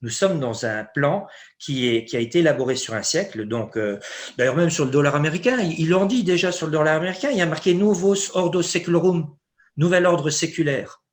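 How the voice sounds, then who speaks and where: A man from Luxembourg hosting an interview in conversational French, emphatic and animated, sometimes laughing as he talks. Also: fairly high pitch, a little fast. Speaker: interview host.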